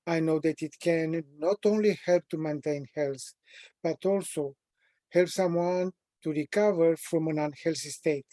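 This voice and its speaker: A man in his sixties from Romania, with a Romanian accent, presenting a training webinar at a measured, deliberate pace with frequent pauses. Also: a little high, mellow, dry recording, clean studio sound. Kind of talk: prepared self-introduction in a training webinar.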